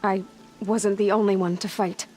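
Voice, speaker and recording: A woman's voice: medium pitch, little pitch movement, normal speed, darkish tone, natural voice. Woman acting a determined young huntress, music underneath. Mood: quiet grief. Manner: direct, terse, resolute. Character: determined, blunt young female outcast huntress. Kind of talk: video game cutscene acting.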